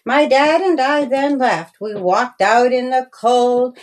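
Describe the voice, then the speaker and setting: A woman narrating a rhyming children's story in a deliberate, singsong storytelling manner. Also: high voice. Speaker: author reading own story.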